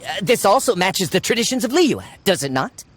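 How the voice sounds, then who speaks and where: A man's voice: high-pitched, very animated, fairly quick, a little hiss or hum. An actor playing a shifty, greedy, boastful man posing as a scholarly treasure researcher in a video game, full of bluster and stammered excuses. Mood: flustered, defensive.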